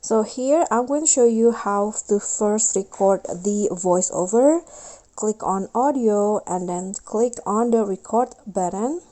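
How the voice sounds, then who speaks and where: A woman's voice: mid-range voice, slow pace, dark tone. A woman hosting a casual step-by-step app tutorial in a voice-over. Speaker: tutorial host.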